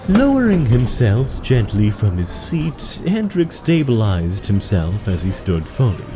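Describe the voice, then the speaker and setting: Dramatic fantasy narrator, expressive, solemn, audio drama w music under him. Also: male voice, fairly low pitch, unhurried. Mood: gentle. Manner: dramatic, literary. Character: solemn omniscient storyteller.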